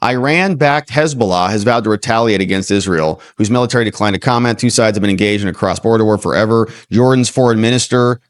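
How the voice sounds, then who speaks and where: A man's voice: on the low side, fast, few pauses, no echo, clean studio sound. A man hosting a livestream, giving informal, slangy news commentary and reading articles aloud.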